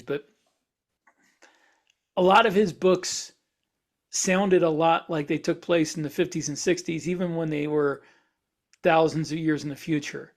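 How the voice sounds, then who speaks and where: Higher-voiced man in casual podcast talk. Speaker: podcast co-host.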